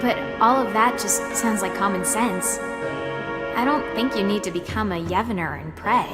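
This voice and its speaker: A woman's voice: mid-range voice, normal speed, close to an everyday voice. Sardonic teenage girl over music voiced by a woman. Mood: skeptical, questioning. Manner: disdainful, sardonic narrating and questioning. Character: cynical, prickly seventeen-year-old girl on a mission. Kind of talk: scripted audio drama acting. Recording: background music under the voice.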